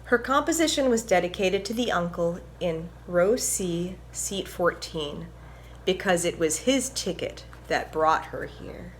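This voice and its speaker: A woman reading aloud and teaching music to young children in a gentle, encouraging, instructive manner. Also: on the low side, expressive, a little slow, a little hiss or hum. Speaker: violinist presenting a children's story time. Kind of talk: picture book read-aloud and music lesson for children.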